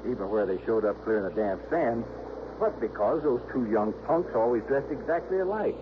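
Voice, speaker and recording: Actor as blustery small-town cop, male, folksy, repetitive, animated pitch, 1960s radio drama, muffled recording. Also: a little fast, lightly acted. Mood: insistent. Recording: dull old radio recording, faint noise.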